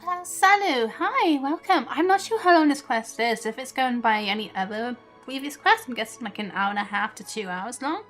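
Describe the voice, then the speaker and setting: A woman's voice: a little high, expressive, moderate pace, hardly any pauses, fairly bright tone. A woman streaming a game, chatting casually and giggly with her viewers. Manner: giggly, brief reactions. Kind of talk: casual live-stream chat. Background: game music.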